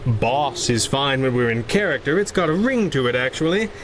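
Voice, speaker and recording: A man's voice: medium pitch, animated, moderate pace, fairly bright tone, a little hiss or hum, natural voice. A man acting an unflappable, wealthy masked hero in a 1930s-style radio drama, with dry, genteel wit. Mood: dryly amused.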